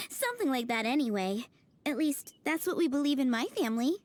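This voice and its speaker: High-pitched cheerful flower girl. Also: very animated, normal speed. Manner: sweet, polite, giggly. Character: cheerful young girl running a flower shop. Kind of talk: anime game voice acting. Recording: music behind the voice.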